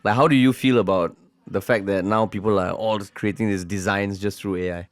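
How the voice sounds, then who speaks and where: Dry-voiced Singaporean podcast host. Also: male voice, on the low side, fairly even intonation, a little fast, no echo. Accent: Singaporean English. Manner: probing questions, local particles like "lah". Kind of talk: casual interviewing. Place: live podcast in a small London venue.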